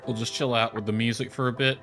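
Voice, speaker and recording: A man's voice: mid-range voice, little pitch movement, quick pace, sharp tone. A man giving casual, rambling livestream commentary as he plays a game, thinking aloud as he goes. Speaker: game streamer. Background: background music.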